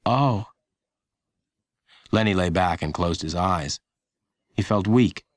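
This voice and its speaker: Deep-voiced man narrating a children's story. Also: a little slow, no room sound, studio quality. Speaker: audiobook narrator.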